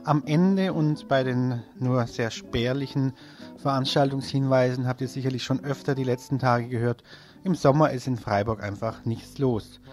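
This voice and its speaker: A male community radio presenter with a mid-pitched voice talks through local listings live. He sounds casual and unscripted, with faint guitar music behind him. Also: fairly even intonation, moderate pace, a little mellow. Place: radio studio. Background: guitar music under the voice.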